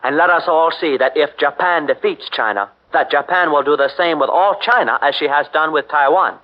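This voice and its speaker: A man's voice: fairly high pitch, normal speed, lightly acted. An actor playing a male underground conspirator in a 1940s radio drama, speaking earnestly. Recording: dull 1940s radio broadcast.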